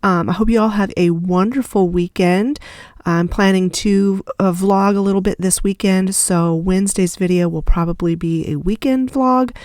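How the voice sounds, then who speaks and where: A middle-aged woman hosting a casual home vlog, chatting in a rambling, self-correcting way, her voice congested and gravelly from a cold. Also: medium pitch, moderate pace, darkish tone. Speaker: vlog host.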